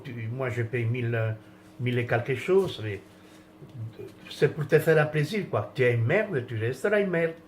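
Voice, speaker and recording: A man's voice: mid-range voice, slight background noise. A man from France in a rambling, indignant rant, with many restarts and trailing phrases.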